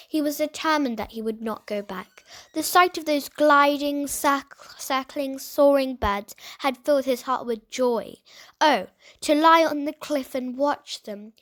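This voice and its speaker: High-voiced woman reading a children's story. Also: fairly bright tone. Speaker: storybook reader. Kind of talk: children's novel read aloud.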